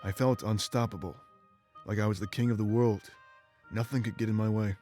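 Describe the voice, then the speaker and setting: Man voicing ancient game warrior, low pitch, solemn and bitter, measured confessional delivery, music behind. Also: fairly even intonation, warm tone, lightly acted. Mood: resigned. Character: ancient immortal former rebel warrior, remorseful and weary man. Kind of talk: dramatic game voice acting, confessional monologue.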